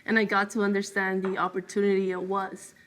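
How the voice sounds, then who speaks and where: A young woman from El Salvador, a guest on a podcast, speaks in a relaxed, plainspoken way and often leans on fillers. Her voice sits at an ordinary middle pitch. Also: brisk. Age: 20s. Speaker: interview guest, ultramarathoner. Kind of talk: casual conversation.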